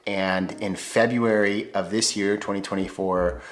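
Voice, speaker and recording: Deep-voiced American man presenting. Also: unhurried. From United States. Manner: clear, steady presenter delivery. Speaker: YouTuber. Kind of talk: scripted vlog narration.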